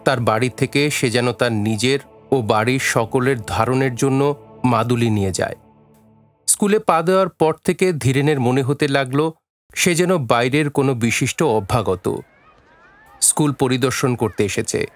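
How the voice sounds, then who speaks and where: Male narrator, mid-pitched, measured and dramatic literary read for audio drama, soft music underneath. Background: faint music.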